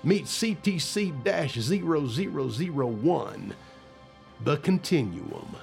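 An actor playing a steady, loyal general in charge of a secret project, gravelly, in an audio drama. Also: male voice, a little high, takes it slowly, soft background music, full character voice. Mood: assured.

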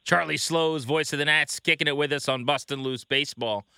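Very bright, forceful male sports host. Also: a little high, a little fast, gravelly, smooth, no echo, studio quality. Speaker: sports show host and interviewer. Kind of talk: casual conversational interview.

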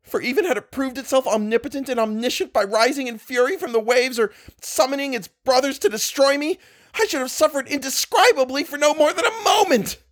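A man voicing a tormented, disfigured archaeologist whose voice turns shrill and frantic. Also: fairly quick, fairly bright tone. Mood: anguished. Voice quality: shrill, rising to shrieks. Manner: frantic, anguished pleading.

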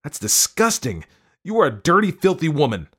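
Audiobook husband, played by a male narrator: domineering, irritable germophobe, emphatic syllables, swinging pitch. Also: expressive, fairly quick. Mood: panicked, disgusted. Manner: shouting, hitting syllables hard. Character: angry, germ-phobic, domineering husband.

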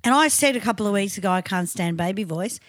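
An Australian woman co-hosting a podcast, chatting crudely with lots of swearing, in a high, trained singer's voice. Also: animated, fast talker, no echo, studio quality. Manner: crude, swearing, outspoken banter. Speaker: podcast co-host. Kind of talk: casual chat.

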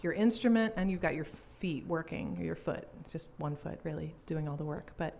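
A woman's voice: low voice, normal speed, vocal fry, a little mellow. A young woman from Belgium, a cellist, chatting casually in an interview and laughing easily. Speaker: cellist and musician guest.

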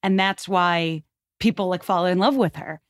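A woman's voice: lowish voice, moderate pace, no echo. Woman storyteller, close dry recording. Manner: talkative, emphatic, rambling. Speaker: podcast guest. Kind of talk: animated conversational history storytelling.

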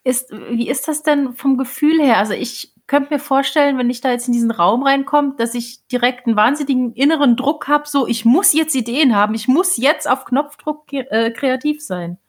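A woman's voice: high-pitched, normal speed, few pauses. A woman co-hosting a casual interview, commenting and putting questions to a guest in a conversational way. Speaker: podcast host.

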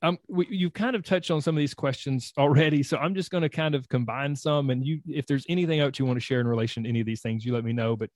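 A man's voice: medium pitch, quick pace, hardly any pauses, darkish tone, no room sound, clean studio sound. A man hosting an interview, enthusiastic and encouraging, rambling a bit with restarts. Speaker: host. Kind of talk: interview, coaching podcast.

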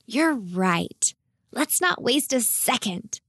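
An eager young storybook girl, acted out by a woman, pitched high and closely recorded. She is caring and cheerful, full of expressive enthusiasm. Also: takes it slowly, creaky, no room sound, clean studio sound, exaggerated voice. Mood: excited. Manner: bright-spirited, expressive, enthusiastic. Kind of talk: children's storybook character voice.

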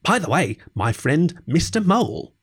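A man voicing a friendly, easygoing gentleman river rat from a storybook, chatty and cheery. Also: on the high side, big pitch swings, measured pace, husky, pitched above the performer's own voice, heavily acted. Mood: cheery, hearty. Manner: cheery, chatty, old-fashioned British idiom. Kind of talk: storybook character voice.

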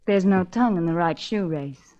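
An actress as a scheming seductress, her voice fairly low and sultry. She speaks with cool calculation in 1940s radio style, captured on a muffled vintage recording. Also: moderate pace, a little mellow, lightly acted. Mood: threatening. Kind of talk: 1940s radio drama acting.

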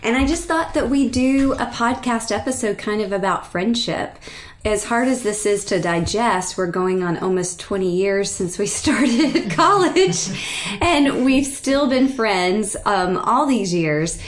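A woman's voice: mid-range voice, lively intonation, unhurried, slight background noise. A woman in her thirties hosting a podcast, leading a casual chat with friends in a chatty, easygoing way. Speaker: podcast host.

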